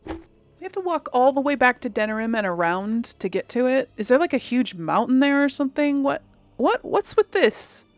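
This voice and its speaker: A woman's voice: high voice, lively intonation, moderate pace. A woman giving casual game playthrough commentary, thinking aloud and muttering to herself as she goes. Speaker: gaming channel host.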